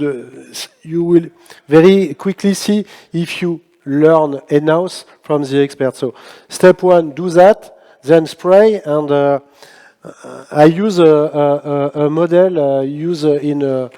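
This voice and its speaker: A man answering on a conference panel, his voice fairly high and mellow. He halts often and restarts his sentences. A long reverb hangs on every word, the sound of a big hall. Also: steady tone. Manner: halting, many restarts and fillers. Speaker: panel speaker.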